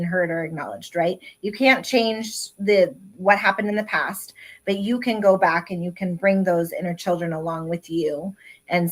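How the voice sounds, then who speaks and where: A woman's voice: on the low side, fairly bright tone. A woman guest on an online talk, explaining her work in an earnest, careful way. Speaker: guest expert. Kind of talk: casual chat.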